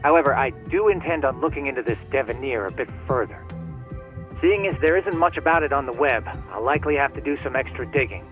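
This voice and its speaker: A man's voice: medium pitch, animated, brisk, soft background music, natural voice. An actor playing a polite, geeky male tech sleuth in a video game, formal and wordy on a phone call. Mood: polite, keen. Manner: formal, wordy, slightly theatrical. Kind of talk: video game cutscene dialogue.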